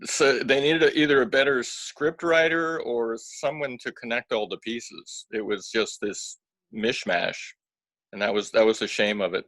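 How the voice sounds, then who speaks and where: A man's voice: mid-range voice, fairly even intonation, unhurried, fairly bright tone, no room sound, low-quality recording. A man in his fifties talking casually and measuredly about films on a video call from a small room.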